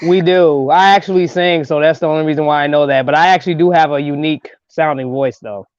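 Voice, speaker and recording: A woman with a low speaking voice talks frankly and teasingly as a guest on a live stream. Her voice comes through a dull, lo-fi call line with a dry, close sound. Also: fairly even intonation, fast talker, darkish tone, no echo. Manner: frank and teasing, laughs often. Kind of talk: casual chat.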